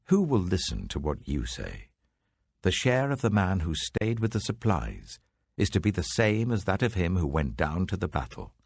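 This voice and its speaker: A man voicing a firm, fair ancient war leader in a dramatic scripture reading, commanding and deliberate. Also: deep voice, wildly swooping pitch, gravelly, darkish tone, husky, full character voice. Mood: firm, admonishing.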